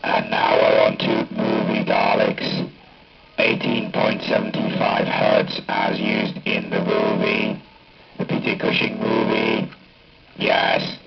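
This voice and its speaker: A man speaking through a Dalek-style ring-modulator robot effect, announcing test settings in a clipped, deliberate way. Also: lowish voice, completely flat delivery, vocal fry, over loud music. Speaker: hobbyist demonstrating a voice changer. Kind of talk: product test demonstration. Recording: dull lo-fi capture from amplifier speaker.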